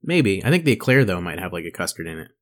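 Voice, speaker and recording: A man's voice: low-pitched, sing-song, fast talker, fairly bright tone. A man co-hosting a podcast, talking casually in a laid-back, slangy way. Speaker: podcast co-host. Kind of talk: casual banter, with some reading aloud.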